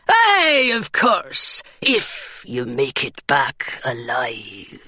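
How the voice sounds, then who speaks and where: A woman voicing a grinning male pirate mate who talks gruffly and bluntly. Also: a bass voice, wildly swooping pitch, very slow, sharp tone, dry recording, studio quality, pitched below the performer's own voice, full character voice. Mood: menacing.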